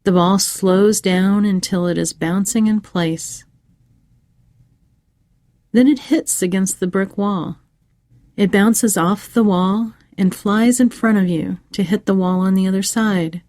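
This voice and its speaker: A woman narrating a scripted guided meditation for children in a measured, soothing way with pauses. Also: mid-range voice, a little mellow, dry recording.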